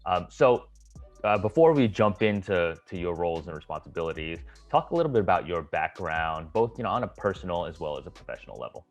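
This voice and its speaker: A man hosting a casual video call interview, friendly and halting with many fillers. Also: low-pitched, expressive, highs cut off, soft background music. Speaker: host.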